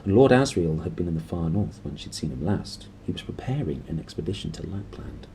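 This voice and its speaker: A man's voice: sits low, lively intonation, fairly quick, warm tone, slight background noise, low-quality recording. A man reading a story aloud expressively. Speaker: reader and host. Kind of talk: expressive audiobook narration with casual asides.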